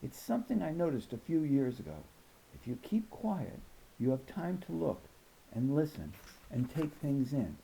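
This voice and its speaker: A man reading a children's novel aloud in an expressive storytelling style. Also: mid-range voice, moderate pace, creaky, poor audio. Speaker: audiobook reader.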